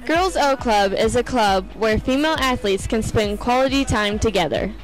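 A woman's voice: a little high, normal speed, over music, poor audio. A teenage girl reporting on a school club for a student news show, holding a handheld mic in a gym. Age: teens. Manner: plain reading of club details. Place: school gymnasium, indoors.

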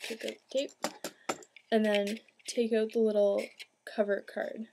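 A woman's voice: fairly high pitch, little pitch movement, unhurried. A young adult woman giving an informal, plain-spoken step-by-step craft demonstration, recorded indoors at home. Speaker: craft tutorial host. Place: small room at home, indoors. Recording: clear background noise, amateur home video.

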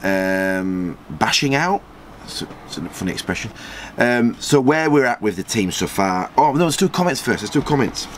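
A man's voice: sing-song, a little hiss or hum. A man, casually commenting on a football management game and reading out viewer comments in a small storeroom. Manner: chatty, rambling, quick jumps in pitch when surprised. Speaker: gaming channel host.

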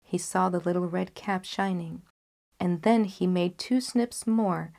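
A young woman storyteller narrating a scripted fairy tale in a careful, measured read-aloud manner. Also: lowish voice, gravelly, a little mellow. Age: young adult. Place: small curtained indoor space, seated.

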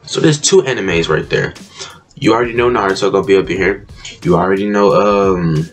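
A man's voice: low voice, a little fast. A young man hosting a reaction video from his bedroom, laid-back and chuckling, with a groggy just-woke-up morning voice. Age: young adult. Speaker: reaction channel host. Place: bedroom, indoors.

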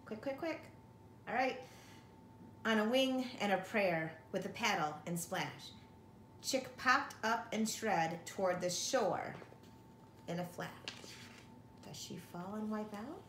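A middle-aged woman reads a children's picture book aloud in an expressive teacher's manner. Her pace is unhurried and her pitch sits in an average range. The room adds noticeable echo to the home recording. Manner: expressive, addressing children with questions. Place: living room at home, indoors. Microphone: camera or phone a short distance away. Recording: roomy home recording with faint noise.

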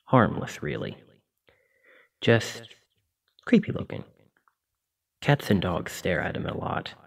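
A man playing a kindly, apologetic sleep paralysis demon in an ASMR roleplay, speaking in a gentle, reassuring, informal way. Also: low-pitched, big pitch swings, takes it slowly, vocal fry, husky, recorded from a distance, exaggerated voice. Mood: chatty, fond.

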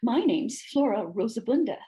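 Woman acting out female storybook cow, high voice, dainty, effusive, emotional, polite. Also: big pitch swings, normal speed, mellow. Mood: proud, chatty. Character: weepy, dainty decorative cow from Manhattan, female.

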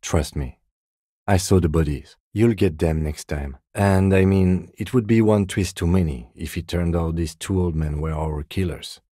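A restrained, courteous police lieutenant for a video game. His voice is deep, dark and husky, delivered in a measured deadpan with a dry, sardonic edge. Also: no room sound. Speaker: game character, police detective. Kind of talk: video game dialogue voice lines.

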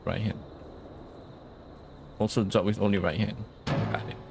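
A man's voice: on the low side, little pitch movement, a little slow, creaky, music in the background. A man giving casual let's play commentary, thinking aloud and reading out instructions as he goes. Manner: murmured thinking aloud, reads on-screen instructions. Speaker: gaming video host.

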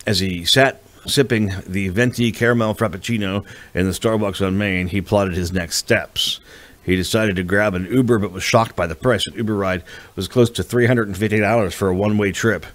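A man's voice: fairly low pitch, fairly even intonation, moderate pace. A man reading a novel as a scripted audiobook narration.

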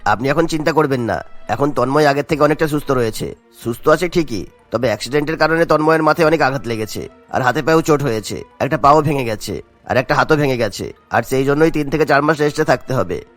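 A man playing a calm hospital doctor in a cartoon story, speaking in a matter-of-fact way. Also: medium pitch, fast, lightly acted. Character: calm male hospital doctor reporting injuries. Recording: music behind the voice.

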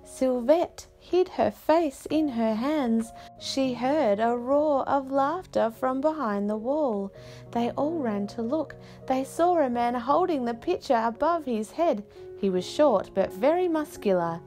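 Slow, high-pitched woman reading a storybook. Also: expressive, dark tone, faint music behind. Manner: unhurried, careful phrasing with dramatic pauses. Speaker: storyteller reading a picture book aloud. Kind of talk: expressive children's read-aloud narration.

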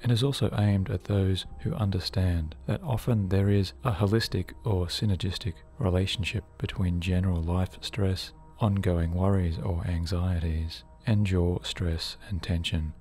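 A man narrating a guided sleep hypnosis session in a soothing, measured, deliberate way, as a hypnotherapist. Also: low voice, fairly even intonation, darkish tone. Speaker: hypnotherapist host. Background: background music.

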